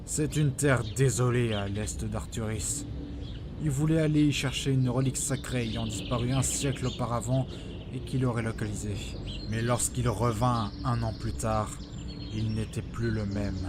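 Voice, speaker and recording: A proud master sage of a fantasy audio drama, acted by a man. He speaks with measured authority and a medium male pitch. Clear background ambience sits behind the voice. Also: background noise, lightly acted. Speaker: actor in amateur audio drama. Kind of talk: acted audio fiction dialogue.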